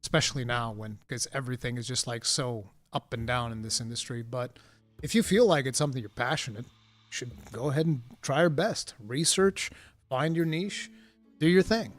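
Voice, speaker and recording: A man from Portugal, a native Portuguese speaker, hosting a live stream in casual, chatty talk. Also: medium pitch. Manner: chatty, animated, quick asides.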